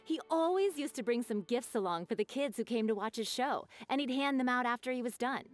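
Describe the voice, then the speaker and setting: An actress playing a gossipy, expressive townswoman in a video game, conversational and a little dramatic. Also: fairly high pitch, brisk, faint music behind, lightly acted. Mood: informative.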